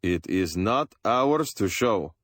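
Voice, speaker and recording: A man's voice: lowish voice, animated, slow pace, dry recording, studio quality, pitched above the performer's own voice. A man voicing a stubborn older father who speaks in a firm, polite way. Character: stubborn older male rug repairer, a father.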